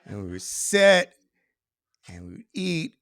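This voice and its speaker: A man's voice: on the high side, big pitch swings, slow pace, fairly bright tone. A middle-aged American man telling a personal story live to an audience in a wry, conversational way, slipping into quoted dialogue. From Maryland, United States.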